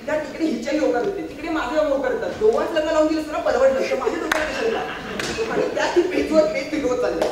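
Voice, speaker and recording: Man acting playful young bachelor, high voice, bantering, projected, stage play, very echoey hall. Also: racing, background noise. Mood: exasperated. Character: teasing, playful young bachelor, friend of the woman. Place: stage of a one-act play. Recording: very echoey theatre hall, distant mic, music.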